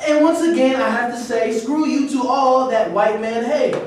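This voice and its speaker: A man's voice: very high-pitched, normal speed. A man acting in a staged reading, delivering rhyming dialogue. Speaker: actor in a staged play reading. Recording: echoey room, distant mic, faint noise.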